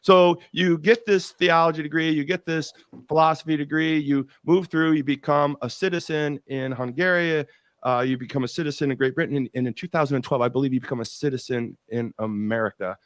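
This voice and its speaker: A man's voice: on the high side, steady tone, fairly quick, no echo. A man hosting an interview podcast, talking enthusiastically and rambling with many restarts. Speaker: podcast host. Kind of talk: interview host, casual chat.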